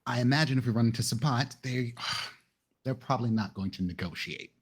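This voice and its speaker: A man's voice: mid-range voice, brisk, breathy edge. A man playing a tabletop roleplaying game, improvising dialogue and narrating his character's actions in a casual, chatty way.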